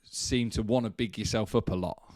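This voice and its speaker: Low-voiced young podcast host, dry sound. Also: male voice, normal speed, a little mellow, no echo. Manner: loose, filler-heavy questions, repeats "obviously". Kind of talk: casual interview hosting. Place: small studio room with curtains. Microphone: desk mic on boom arm, headphones.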